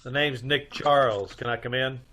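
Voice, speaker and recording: A man playing a brisk, wisecracking private detective in an old-time radio mystery. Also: medium pitch, steady tone, bright, forceful tone, a little hiss or hum, natural voice. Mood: brisk, probing. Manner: clipped, wry interrogating.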